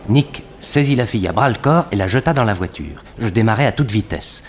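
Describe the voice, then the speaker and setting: An actor playing a young, streetwise detective's assistant who narrates an early sixties radio adventure in a matter-of-fact way. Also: male voice, lowish voice, animated, fairly quick, a little hiss or hum, close to an everyday voice. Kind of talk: early 1960s radio drama narration and dialogue. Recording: old radio production with music behind.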